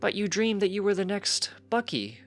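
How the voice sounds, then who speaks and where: A narrator voicing a stately, inquisitive pony princess with formal, probing, deliberate speech in a fantasy story reading. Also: mid-range voice, very animated, faint music behind, pitched above the performer's own voice.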